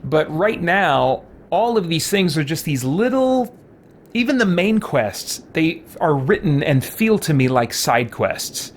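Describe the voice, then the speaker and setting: A man's voice: on the high side, very animated, slow pace, a little hiss or hum. A man chatting casually as a game streamer, thinking aloud in an informal, rambling way. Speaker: streamer playing and commenting on a video game. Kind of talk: casual live game commentary chat. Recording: game music behind the voice. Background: video game music.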